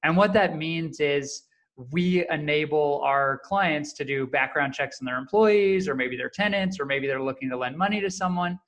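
Easygoing man, slightly high voice. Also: moderate pace. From Canada. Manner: friendly, jokey, relaxed storyteller. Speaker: podcast guest, startup co-founder. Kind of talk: casual interview answers.

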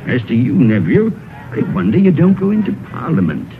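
An actor playing a cold, scornful old miser in a radio drama, curt, grumbling and snapping. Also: male voice, a little high, huge pitch swings, brisk, faint music behind. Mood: irritable, scolding. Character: miserly, cantankerous old male money-lender, cold and scornful. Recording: noisy, narrow-band vintage radio recording.

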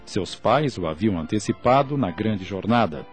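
A man's voice: lowish voice, animated, normal speed, soft background music. A man narrating a scripted radio drama in formal, literary diction.

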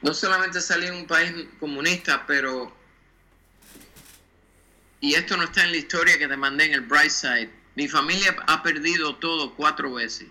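Bright-voiced Cuban-born man, thoughtful. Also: fairly high pitch, normal speed, low-quality recording. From Cuba. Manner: thoughtful, drops English words into his Spanish. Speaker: guest designer. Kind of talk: casual interview talk.

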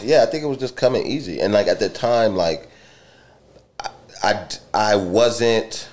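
A young man with a low, naturally raspy voice, speaking in a relaxed, slangy way. He leans on "you know what I'm saying" as he talks through his music and his hometown. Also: measured pace. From Charlotte, North Carolina. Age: 20s. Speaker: rapper guest. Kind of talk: casual interview chat.

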